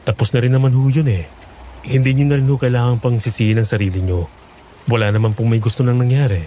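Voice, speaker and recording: A man's voice: mid-range voice, fairly even intonation, fast talker, dark tone, a little hiss or hum, natural voice. A man playing a respectful, forgiving young nephew in a dramatized horror story, polite and earnest. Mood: reassuring. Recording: music bed underneath.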